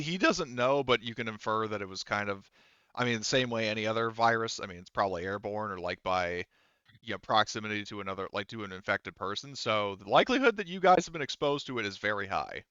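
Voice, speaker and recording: A man running a tabletop roleplaying game as game master, explaining the scene in halting, unscripted narration. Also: fairly low pitch, pushed and bright, smooth, no echo, highs cut off.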